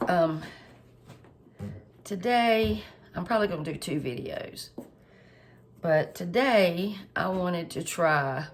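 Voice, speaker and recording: A woman, a little hoarse and stuffed up, chatting homily through a cooking tutorial for her viewers. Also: fairly low pitch, lively intonation, takes it slowly, pauses often, slight background noise. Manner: homey, chatty, lots of fillers, addresses viewers directly. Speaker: home cook channel host.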